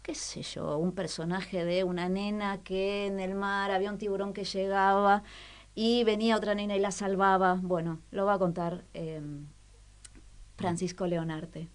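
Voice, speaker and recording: A woman radio presenter with a Rioplatense Argentine accent, speaking at a middling pitch. She explains things conversationally, often turning to her listeners to check they follow. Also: flat delivery, a little slow, smooth. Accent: Rioplatense Argentine Spanish. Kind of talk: casual explanatory radio chat.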